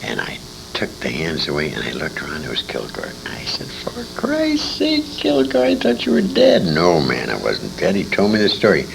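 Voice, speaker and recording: A man's voice: deep voice, huge pitch swings, moderate pace, vocal fry. An older American man telling anecdotes in casual conversation, salty and emphatic, repeating phrases for effect. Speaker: interviewee, war veteran and old-time radio performer. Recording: noisy informal interview recording.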